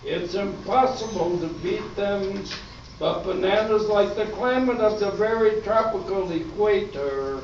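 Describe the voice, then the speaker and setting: A man's voice: high voice, unhurried. A man playing a banana mascot performing an advertising jingle in an amateur radio play, in an echoey room from a distance. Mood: perky. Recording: echoey, distant, muffled room recording, faint noise.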